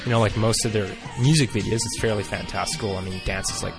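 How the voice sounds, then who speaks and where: A man's voice: fairly low pitch, steady tone, quick pace, over music. A man co-hosting a casual podcast who recaps a cartoon episode in a chatty, hesitant way, often correcting himself. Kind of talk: casual chat mixed with read recap.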